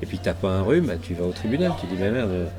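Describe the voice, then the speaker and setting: A middle-aged man from southwest France speaks in a slightly low voice, halting often to search for words and restart. A loud crowd chatters around him at a public event. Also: very animated. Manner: hesitant, many fillers and restarts. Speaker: interview guest. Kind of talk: casual interview, rambling.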